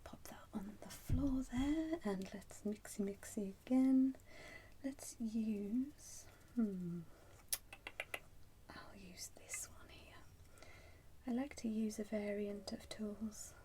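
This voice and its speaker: Slow, whispering woman in ASMR roleplay. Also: medium pitch, a little hiss or hum. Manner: soft, breathy whispering; slow, pausing, unhurried. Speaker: ASMR performer playing a soup shop keeper. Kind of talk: whispered ASMR roleplay, addressing the listener as a customer.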